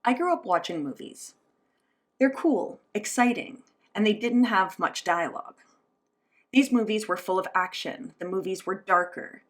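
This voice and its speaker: A woman's voice: mid-range voice, expressive, normal speed, a bit bright. A woman gives a spoken interpretation of a signed interview, working as an interpreter. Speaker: sign language interpreter voicing an interview.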